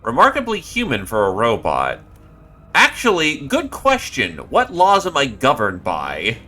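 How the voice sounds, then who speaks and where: A man streaming a horror game live, reading on-screen text aloud and breaking into loud, excited outbursts. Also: medium pitch, unhurried. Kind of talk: live gameplay commentary.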